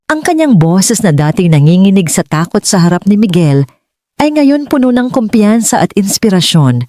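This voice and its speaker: A Filipino woman reads a story as an audiobook narrator. Her voice sits on the low side and is soft-edged and mellow. She phrases earnestly and deliberately, in a very dry, close sound. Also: no room sound. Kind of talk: scripted dramatic story narration.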